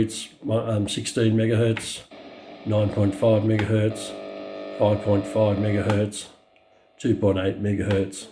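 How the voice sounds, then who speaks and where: An Australian man giving an unscripted hands-on product demonstration, explaining things in a halting, matter-of-fact way into a camera mic. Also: lowish voice, dark tone, a little hiss or hum. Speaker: radio collector presenting a review.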